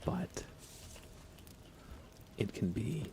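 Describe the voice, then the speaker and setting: A soft-spoken man doing casual ASMR game commentary on a live stream, often slipping into whispers. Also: extremely slow. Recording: clear background noise.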